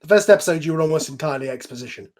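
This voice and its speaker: Guy hosting a group chat online, kinda high voice, informal and rambly, bit sweary. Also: animated, rapid-fire, a loud crowd. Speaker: host and series creator. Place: online voice call.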